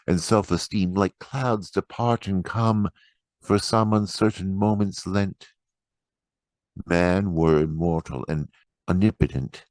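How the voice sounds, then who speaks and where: A man reciting poetry dramatically, with solemn, measured phrasing and deliberate pauses. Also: low voice, darkish tone, dry recording. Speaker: poetry reader.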